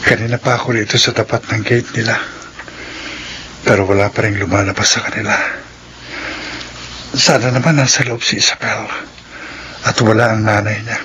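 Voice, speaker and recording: A man's voice: fairly low pitch, steady tone, moderate pace, pauses often, bright, forceful tone, a little hiss or hum. A man playing an earnest, anguished suitor in a drama. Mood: anxious waiting. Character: lovesick, impatient male suitor, earnest and anguished. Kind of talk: radio drama dialogue.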